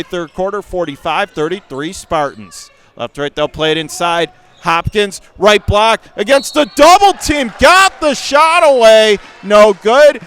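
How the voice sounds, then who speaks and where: A man calling high school basketball on radio, with rapid play-by-play that jumps to shouts on big shots, in a school gym. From Western New York. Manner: rapid calls that jump to shouts on big plays.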